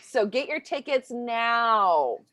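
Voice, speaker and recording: A woman acts out a clueless small-town starlet on a spoof game show, with a highish voice. She gushes and rambles, tripping over her words, in a dry, close sound. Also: expressive, slow, a bit bright, no echo. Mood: earnest, rambling. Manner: flustered, rambling with stammers and breathy exclamations. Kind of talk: improvised game show parody.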